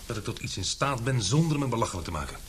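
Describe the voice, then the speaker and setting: A man's voice: medium pitch, very animated, fast, slight background noise, close to an everyday voice. An actor playing an earnest young male reporter in a radio play, polite and candid. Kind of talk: Dutch radio play acting.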